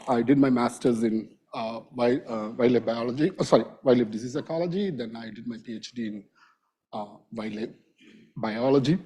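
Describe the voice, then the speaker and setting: A middle-aged man, lecturing informally in a hall while raspy with a bad cold. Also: mid-range voice, darkish tone. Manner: informal, self-deprecating, halting. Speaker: invited lecturer, wildlife vet. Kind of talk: academic lecture.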